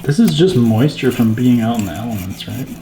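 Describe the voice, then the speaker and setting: A man's voice: mid-range voice, expressive, fairly quick. A man hosting a how-to video, talking casually and giving repair instructions. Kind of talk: casual chat and how-to narration. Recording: roomy, clearly noisy, clattering.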